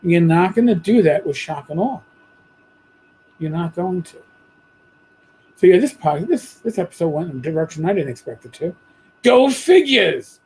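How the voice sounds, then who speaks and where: A man hosting a podcast, talking bluntly and casually with a hoarse voice after losing it. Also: high voice, very animated, moderate pace, pauses often, darkish tone, rough recording. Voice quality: husky. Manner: blunt, profane, rambling. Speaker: podcast host. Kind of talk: casual monologue.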